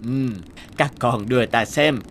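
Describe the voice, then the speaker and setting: A man's voice: medium pitch, measured pace, a little hiss or hum, lightly acted. An actor voicing a solemn, fatherly old god in a cartoon, grave and grandfatherly in manner. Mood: kindly, solemn. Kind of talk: cartoon dub acting. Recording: loud music behind the voice.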